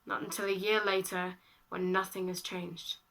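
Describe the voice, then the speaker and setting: A woman in her late teens performing spoken-word poetry, with dramatic, deliberate phrasing and repetition. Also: lowish voice, flat delivery, fairly bright tone, poor audio. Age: late teens, about eighteen. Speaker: slam poet. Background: music.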